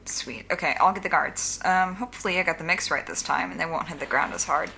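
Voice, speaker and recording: A young woman villain played by an actress with a slightly low, forceful voice and a dry, sarcastic edge. She is a wary, brisk planner in a naturalistic audio drama. There is faint noise behind her. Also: creaky, rough recording. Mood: hurried, focused.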